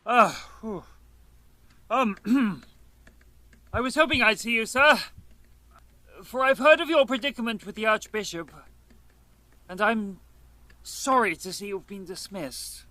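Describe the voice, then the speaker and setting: A woman's voice: fairly high pitch, animated, takes it slowly, long pauses, pushed and bright, no room sound, heavily acted. A woman playing an earnest, deferential acquaintance in a period audio drama, with a polite, pleading, formal manner. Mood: breathless, sympathetic. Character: earnest, deferential acquaintance hurrying after Mozart.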